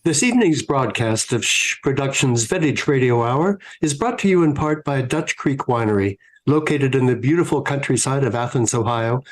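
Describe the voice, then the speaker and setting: A man's voice: mid-range voice, animated, moderate pace, hardly any pauses, low-quality recording. A man announcing and narrating in a scripted, old-time radio show style.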